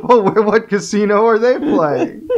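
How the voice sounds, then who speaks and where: A man's voice: sits high, big pitch swings, takes it slowly, dull audio. A man co-hosting a casual comedy podcast, riffing on absurd bits and laughing often. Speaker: podcast co-host.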